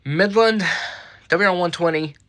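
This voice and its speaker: A man's voice: sits high, unhurried, a bit bright. A man giving a casual, rambling show-and-tell as a hobbyist host, muttering asides and swearing mildly. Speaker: radio collector and host. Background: weather radios playing.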